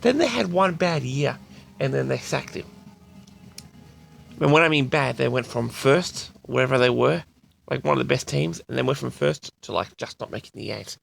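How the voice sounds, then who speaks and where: A man with a tired, raspy voice, chatting casually and loosely to his audience with many restarts. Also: mid-range voice, expressive, normal speed, soft background music. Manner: rambling, repeats and restarts sentences. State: tired, raspy from a late night. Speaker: audio log host. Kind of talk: casual rambling chat to audience.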